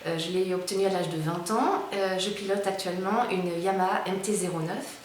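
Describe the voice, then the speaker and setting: A woman's voice: on the low side, fairly even intonation, normal speed, a little hiss or hum. A woman in her fifties, a motorcyclist giving enthusiastic interview answers and repeating herself. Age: 50s. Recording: very echoey, distant mic.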